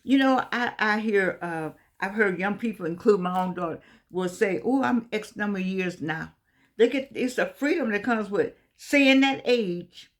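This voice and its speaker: A woman's voice: medium pitch, normal speed. A woman in her eighties giving a casual motivational talk to her viewers, folksy and emphatic, often repeating herself. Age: 80s. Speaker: vlogger.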